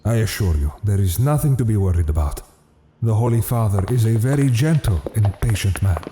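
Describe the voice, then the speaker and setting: An actor playing a formal, protective, dutiful Cardinal who attends the Pope in an audio drama. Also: male voice, lowish voice, expressive, a little fast, background noise, close to an everyday voice. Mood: formal, respectful.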